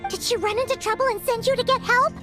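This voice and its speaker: Squeaky, excitable little companion. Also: female voice, quick pace, music in the background. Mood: inquisitive. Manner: inquisitive, exclamatory, chatty. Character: small excitable childlike floating companion, female, easily exasperated. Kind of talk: animated video game dialogue.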